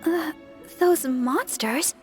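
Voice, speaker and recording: A young church deaconess in a video game. The voice is high and sweet, with an earnest, gentle manner. Soft music plays behind it. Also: female voice, very slow. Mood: surprised, earnest.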